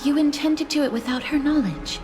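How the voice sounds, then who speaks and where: Casting: actress as polite, earnest young woman fighter in a fantasy game, slightly high voice, formal and gentle. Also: fairly quick, over music, lightly acted. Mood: earnest, cautious. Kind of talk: dramatic video game acting.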